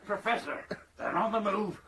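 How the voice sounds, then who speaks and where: An actor playing an eager henchman reporting to his boss in a period radio drama, with a raspy voice. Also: sits high, normal speed, noisy background, natural voice. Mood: urgent, servile. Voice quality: raspy, gravelly.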